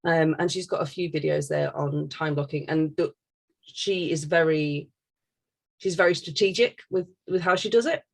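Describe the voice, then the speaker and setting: A woman's voice: deep voice, moderate pace, creaky. A woman hosting a casual, chatty podcast. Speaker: podcast host reading listener questions.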